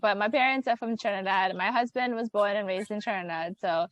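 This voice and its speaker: A woman from the United States hosting a podcast, effusive and quick to laugh in casual interview chat. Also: medium pitch, dry recording, lo-fi sound. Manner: warm, effusive, laughs easily. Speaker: podcast host.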